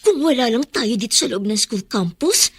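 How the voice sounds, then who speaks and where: A woman playing an indignant schoolgirl daughter in a Filipino radio drama, forceful and emotional as she scolds in defense of her mother. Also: fairly high pitch, lively intonation, normal speed, husky, dry recording, studio quality.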